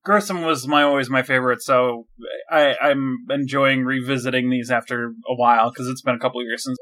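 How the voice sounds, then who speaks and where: A man's voice: mid-range voice, fairly even intonation, brisk, fairly bright tone. A man co-hosting a casual podcast, laid-back and dry, often giving short answers. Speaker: podcast co-host.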